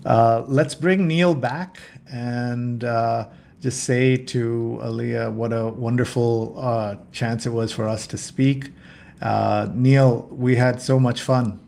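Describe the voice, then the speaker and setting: A man with a fry-laden voice hosts a casual live show. He presents with enthusiasm, in a halting, conversational flow. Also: mid-range voice, fairly even intonation, dark tone, slight background noise. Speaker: host.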